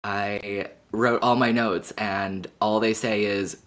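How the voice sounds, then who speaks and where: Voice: deep voice, little pitch movement, slow, a bit bright. A podcast co-host chatting casually in a giggly, good-humoured way. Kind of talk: casual chat.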